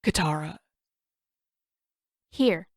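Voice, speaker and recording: A woman's voice: low-pitched, animated, very slow, dry recording, full character voice. A sullen teenage prince, as a woman narrator acts him out, proud and short on patience. He speaks curtly in a storybook read with a very dry sound. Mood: hesitant.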